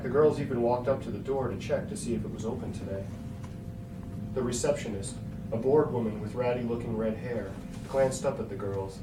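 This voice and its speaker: A man reading a scripted story aloud as its narrator. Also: mid-range voice. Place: hotel room. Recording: echoey hotel room, distant mic, noisy.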